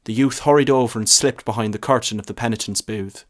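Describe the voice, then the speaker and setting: A man's voice: medium pitch, quick pace. A man reading a short story as narrator, in scripted narration.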